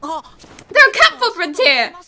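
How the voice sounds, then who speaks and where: A woman's voice: extremely high pitch, sing-song, slow, pushed and bright, full character voice. A woman voicing an eager, food-loving young female detective in a fan dub, with a perky, inquisitive manner. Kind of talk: fan dub game voice acting.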